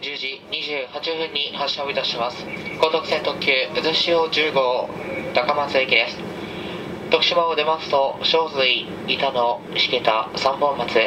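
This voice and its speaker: Guy conductor doing polite train announcements, distant pa speaker, really loud train noise. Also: medium pitch. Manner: formal, polite, measured recitation. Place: train car over speakers, loud running noise behind.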